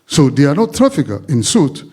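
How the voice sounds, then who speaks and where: A man from the Democratic Republic of the Congo, speaking from the audience in an impassioned, halting way. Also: fairly high pitch, sing-song, warm tone, slightly rough. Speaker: audience member.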